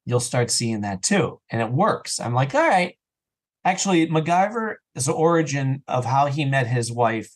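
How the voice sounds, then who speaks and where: A man chatting casually as an interview guest, telling stories and acting out imagined remarks as he goes. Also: mid-range voice. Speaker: podcast guest, audio drama creator.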